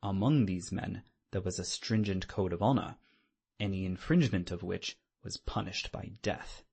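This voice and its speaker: Husky low-voiced male audiobook narrator. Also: normal speed, a little mellow. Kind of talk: scripted dramatic narration of a classic novel.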